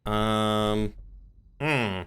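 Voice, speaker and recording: A man with a fairly low, bright and forceful voice talks casually on a game livestream. He muses aloud and mutters to himself between replies to his chat. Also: very slow, clear, resonant tone. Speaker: game streamer.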